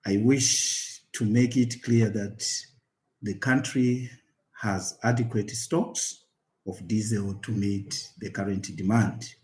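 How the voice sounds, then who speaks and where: A man with a Zambian accent reading a formal government statement in a deliberate, official manner with halting phrasing. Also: on the low side, steady tone, a little mellow. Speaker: government minister.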